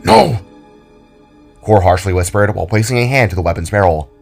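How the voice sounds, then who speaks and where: A man's voice: low voice, fast talker. A man giving dramatic scripted narration as the storyteller of an audio drama. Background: background music.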